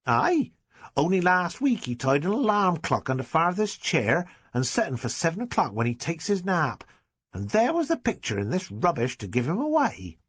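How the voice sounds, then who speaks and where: A man voicing a rough-spoken country mother, scolding in broad rustic dialect. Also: a little high, wildly swooping pitch, moderate pace, a bit bright, no room sound, voice pushed up, full character voice. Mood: indignant.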